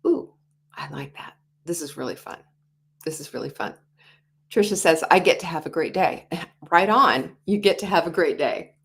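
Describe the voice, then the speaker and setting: Energetic woman in her sixties. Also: lowish voice. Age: 60s. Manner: animated, acts out quoted inner voices, drops to whispers. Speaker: host. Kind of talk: motivational talk show monologue.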